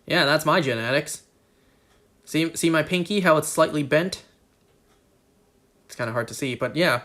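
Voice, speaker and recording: Man, game streamer, casual rambling chat, self-deprecating jokes, slightly high voice. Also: moderate pace, fairly bright tone.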